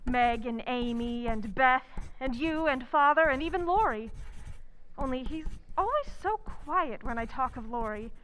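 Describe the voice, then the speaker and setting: Lady acting eager chatty young writer girl, old radio drama style, high bright voice, bit of hiss. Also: animated, measured pace. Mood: excited, affectionate. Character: eager, talkative young woman writer, warm-hearted and excitable.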